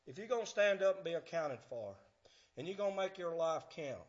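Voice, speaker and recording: A man, preaching a fervent sermon in church, swinging from shouts to hushed tones. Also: a little high, expressive, fairly quick, highs cut off. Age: 50s.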